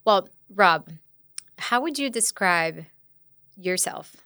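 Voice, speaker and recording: A woman's voice: medium pitch, lively intonation, slow pace, sharp tone, no echo, studio quality. A woman from Brazil hosting a podcast interview, encouraging and repeating her words as she talks. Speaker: podcast host. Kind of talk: casual interview.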